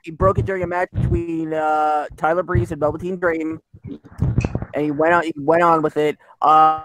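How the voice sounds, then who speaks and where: A man's voice: on the high side, little pitch movement, normal speed, a bit bright, slight background noise, poor audio. A man co-hosting a casual wrestling podcast, jokey and reading out news items. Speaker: podcast co-host.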